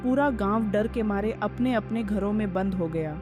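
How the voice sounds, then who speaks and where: A woman's voice: medium pitch, normal speed, music in the background. A woman giving scripted narration of a horror story as its narrator.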